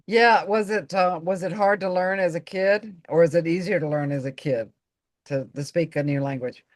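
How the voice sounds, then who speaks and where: A woman's voice: fairly low pitch, moderate pace, no echo, clean studio sound. A woman hosting an interview, asking questions that she reshapes and restarts as she goes. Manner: searching, restarts mid-question. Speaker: host.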